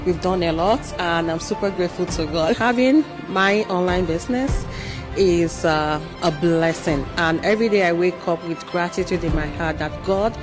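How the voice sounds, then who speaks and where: A woman's voice: fairly low pitch, moderate pace. A woman from Nigeria, a public health professional, giving an earnest, grateful testimonial. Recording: background music.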